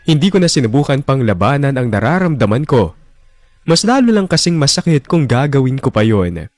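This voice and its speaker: A man's voice: medium pitch, dry recording. A man narrating a heartbroken first-person love story in a radio drama. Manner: brooding, heartbroken confession. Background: soft music bed.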